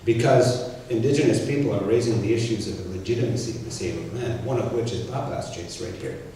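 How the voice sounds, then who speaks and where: Echoey low-voiced Canadian man answering questions. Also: fast talker, warm tone. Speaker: guest speaker. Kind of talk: answering audience questions after a public talk. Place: church hall. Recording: very echoey hall, distant mic, faint noise.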